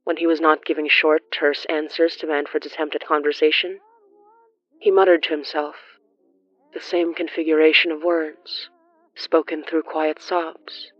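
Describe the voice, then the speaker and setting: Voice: mid-range voice, flat delivery, moderate pace, no room sound. Theatrical horror narrator, dry and slightly dull recording. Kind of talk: scripted dramatic gothic horror narration.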